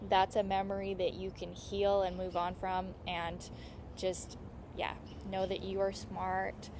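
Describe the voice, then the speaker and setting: A woman astrologer and healing coach with vocal fry, giving a casual, earnest and reassuring astrology lesson, with small clicking noises from her mouth. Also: mid-range voice, completely flat delivery, a little slow, noisy background. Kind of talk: casual astrology teaching monologue.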